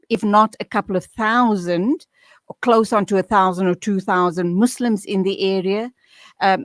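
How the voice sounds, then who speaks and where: A woman hosting a radio interview, asking long, formal questions in a measured way. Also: medium pitch, dry recording, clean studio sound. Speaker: radio host.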